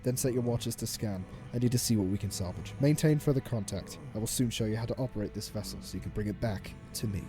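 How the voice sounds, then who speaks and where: A man playing a calculating, commanding gang boss in an audio drama, speaking in a measured, deliberate, authoritative way. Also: lowish voice, lightly acted. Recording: music under the voice. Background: dramatic music.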